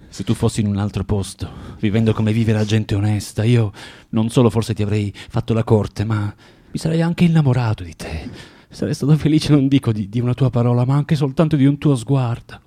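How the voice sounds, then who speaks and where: Husky, intensely swinging tormented man. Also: medium pitch. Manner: halting, theatrical, swinging from tender to vehement. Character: bitter, self-loathing man lecturing a young woman, intense. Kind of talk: Italian radio drama acting.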